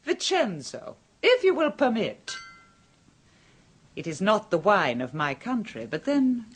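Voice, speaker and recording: An actress playing a teasing, elegant contessa in a radio drama. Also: female voice, medium pitch, big pitch swings, slow pace, slightly rough, exaggerated voice. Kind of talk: 1970s radio drama acting.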